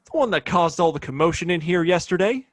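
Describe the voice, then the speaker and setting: Guy doing cocky bossy western sheriff, american, kinda higher voice, folksy, throws his weight around, audio play. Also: lively intonation, fairly quick, a bit bright, dry recording. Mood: offhand. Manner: smug, domineering, folksy drawling phrasing. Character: swaggering, menacing small-town Old West sheriff.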